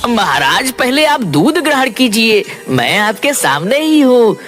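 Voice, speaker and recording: A humble male villager voiced by an actor in a cartoon folk tale. He speaks deferentially and earnestly, with very animated intonation. Soft music runs behind the voice. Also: very high voice, unhurried, exaggerated voice. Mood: earnest, flattering. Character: humble, clever poor woodcutter, village husband. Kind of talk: Hindi animated fairy tale dialogue.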